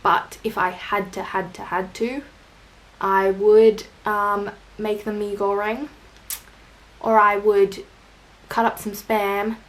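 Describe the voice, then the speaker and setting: A woman's voice: medium pitch, little pitch movement, takes it slowly, poor audio. Chatty young Australian woman. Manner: chatty and rambling, self-deprecating jokes. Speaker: vlogger. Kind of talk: casual tag video answering questions.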